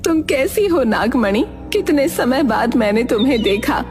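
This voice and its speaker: A scheming hunchbacked woman from a storybook tale, acted out by a woman narrator. She pitches her voice high and speaks in a cunning, sweet-talking way. Music plays clearly behind her. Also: expressive, moderate pace, darkish tone. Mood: affectionate, coaxing. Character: scheming hunchbacked woman posing as a loving aunt.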